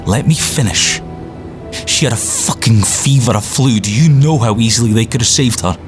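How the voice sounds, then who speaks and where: A man's voice: medium pitch, moderate pace, natural voice. A man acting a bitter, disillusioned and reckless man of privilege in a science fiction audio drama, cynical and intense. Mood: bitter, agitated. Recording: music under the voice. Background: music.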